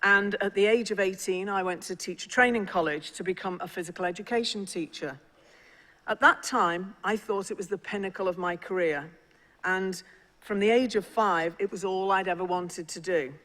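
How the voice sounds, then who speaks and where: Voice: mid-range voice, sharp tone. Liverpool speaker addressing graduates in a hall. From England (Liverpool). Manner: measured, encouraging, formal delivery with small restarts. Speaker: senior university official. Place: large ceremonial hall. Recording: long hall reverb at ceremony.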